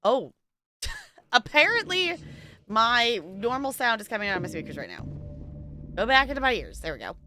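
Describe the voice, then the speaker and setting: A woman's voice: medium pitch, bright, forceful tone. A woman live-streaming, chatting casually with her viewers in a rambling, giggly way.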